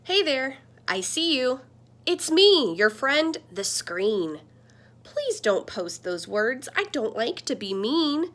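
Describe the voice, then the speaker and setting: A woman voicing a friendly, earnest talking computer screen that gently scolds, in a children's picture book. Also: sits high, sing-song, slow pace, full character voice. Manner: earnest, explanatory.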